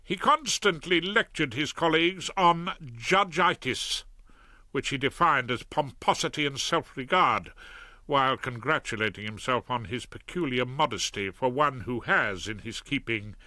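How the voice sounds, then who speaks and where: An older man narrating a story in the first person with a dry, ironic wit. His voice is bright and lively in pitch, recorded close and dry with no room sound. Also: normal speed, dry recording. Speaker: audiobook narrator.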